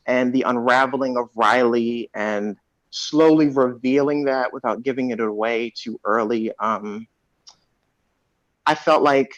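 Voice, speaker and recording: A man speaking as an actor on a panel, reflecting in a measured, thoughtful way with pauses, over a video call. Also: medium pitch, no room sound. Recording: dull, dry video-call audio.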